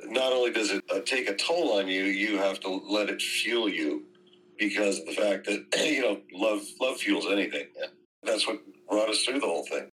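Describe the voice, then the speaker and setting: A man with a fairly low voice answers interview questions off the cuff. He speaks haltingly, repeating words while he looks for the right phrase. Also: fairly bright tone, rough recording. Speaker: interviewee, theater operator.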